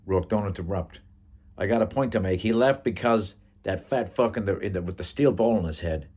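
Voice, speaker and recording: A man's voice: lowish voice, brisk, a little mellow, pitched above the performer's own voice, lightly acted. A man voicing a brash, cocky Italian American bar buddy with a New York Italian accent in a story. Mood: heated. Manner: blunt, cocky, slangy.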